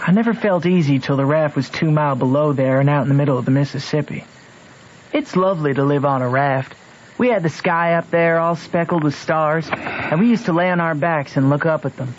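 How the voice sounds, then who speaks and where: A man's voice: on the high side, a little fast, slight background noise, lightly acted. A man playing a rustic American boy with a Southern accent, telling his adventures in plain country dialect for a radio drama. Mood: wistful. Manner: folksy, plain-spoken storytelling in country dialect. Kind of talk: old-time radio drama narration. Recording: dull old radio recording with music.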